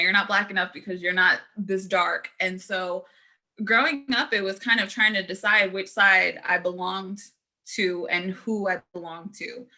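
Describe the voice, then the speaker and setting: A woman panelist telling her own story in an earnest, halting way with frequent ums. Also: medium pitch, bright, forceful tone.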